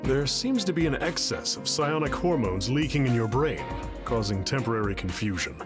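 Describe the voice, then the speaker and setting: A man's voice: mid-range voice, very animated, moderate pace, over music, lightly acted. A man playing a reassuring hospital doctor in a video game, explaining in a clinical manner. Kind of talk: video game cutscene voice acting.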